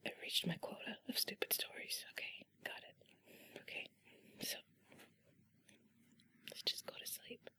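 A woman speaking in a soft, breathy whisper, intimate and playful, as in a bedtime ASMR roleplay with a partner. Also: measured pace, long pauses. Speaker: ASMR performer playing an affectionate partner.

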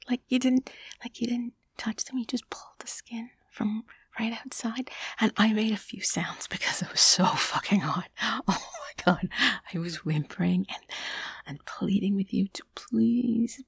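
Young adult woman, close-mic ASMR whisperer: airy, breathy, gravelly fry, intimate storytelling. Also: medium pitch, no echo.

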